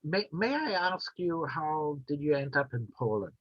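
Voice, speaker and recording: Husky low woman on a dull video call. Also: sing-song, moderate pace, dry recording. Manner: polite, hesitant questioner. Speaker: co-host. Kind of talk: casual interview questions.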